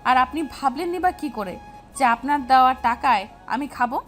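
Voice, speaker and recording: A woman's voice: sits high, moderate pace, lightly acted. A woman playing a feisty, easily annoyed teenage schoolgirl in an audio story, with music underneath. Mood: angry, indignant. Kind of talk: Bengali audio story acting.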